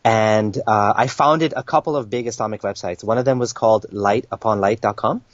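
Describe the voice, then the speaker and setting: A man's voice: on the low side, a little fast, dry recording. A young man from Kenya, a guest in an interview, telling his story in casual talk with many restarts and fillers. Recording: dry, dull lo-fi sound.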